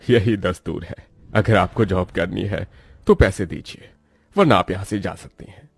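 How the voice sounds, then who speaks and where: A man's voice: sits low, normal speed, creaky, breathy edge, no room sound, studio quality, exaggerated voice. A man playing a matter-of-fact corrupt company interviewer in a cartoon story, formal and businesslike. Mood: cool, formal. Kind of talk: Hindi cartoon moral story.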